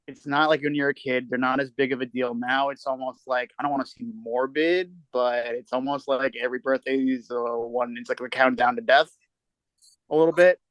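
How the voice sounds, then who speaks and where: A man's voice: medium pitch, a bit bright, clean tone, no echo, poor audio. A man in his thirties, co-hosting a casual podcast chat, talking candidly and swearing now and then. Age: 30s. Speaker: podcast co-host.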